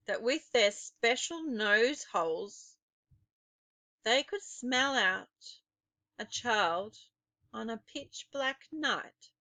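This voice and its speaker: A woman narrating a children's story expressively, with dramatic, deliberate phrasing. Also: on the high side, pushed and bright, no echo.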